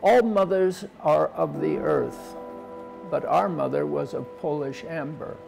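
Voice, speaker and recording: A man in his sixties reading a poem in a solemn, deliberate, measured way. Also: fairly high pitch, huge pitch swings, creaky, a little mellow, soft background music. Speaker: poet. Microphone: lapel.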